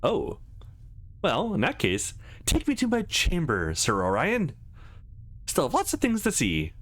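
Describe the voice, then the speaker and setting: A man's voice: fairly high pitch, wildly swooping pitch, a little slow, a bit bright, voice pushed up, full character voice. A man voicing a shy, playful, sweet young woman in a visual novel, hesitant and bashful, with music underneath. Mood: playful, excited. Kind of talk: visual novel character read-aloud.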